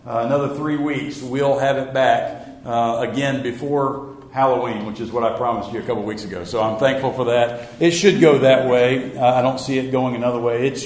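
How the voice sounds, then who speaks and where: A middle-aged man, a pastor giving a casual Wednesday night church talk, slightly hoarse, folksy and self-deprecating with many fillers. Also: mid-range voice, a little mellow. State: a little hoarse. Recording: roomy echo.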